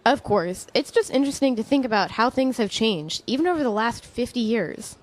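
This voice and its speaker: Woman playing a measured middle-aged professor. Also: fairly high pitch, lively intonation, lightly acted. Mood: reflective. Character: middle-aged female chemistry professor, friendly and reflective. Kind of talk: conversational audio drama acting.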